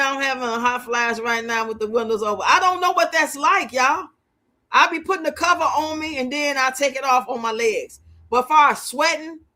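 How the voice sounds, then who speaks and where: A woman's voice: high-pitched, sharp tone. A woman of fifty-nine hosting a casual livestream, chatting with viewers in a blunt, outspoken, colloquial way. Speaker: livestream host.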